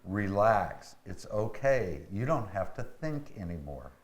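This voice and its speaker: A man chatting casually as a panel guest, hesitant and halting as he answers. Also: on the low side, very animated. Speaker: interview guest from a community theater. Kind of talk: casual chat. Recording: roomy, echoey, noisy room sound.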